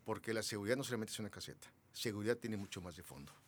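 A rambling man in his sixties from Mexico City, speaking as an interview guest in a fairly low voice. He talks loosely, with plenty of fillers and restarts. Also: animated, racing. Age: 60s. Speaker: guest, businessman. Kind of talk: casual interview talk.

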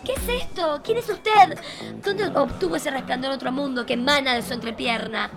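A woman's voice: high-pitched, moderate pace, vocal fry, over music. A woman acting a grandiose, flirty alien drag queen in campy parody radio theatre. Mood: indignant.

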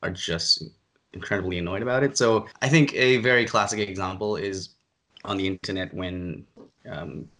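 Low-voiced man hosting a podcast. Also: moderate pace, pushed and bright. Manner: rambling, exasperated. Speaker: podcast host. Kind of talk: casual podcast chat.

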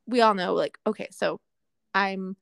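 A woman's voice: mid-range voice, steady tone, a little slow, vocal fry, a bit bright, no echo, studio quality. A woman in her late thirties chatting openly and with self-deprecating humor, with lots of fillers. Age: 30s. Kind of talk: casual chat.

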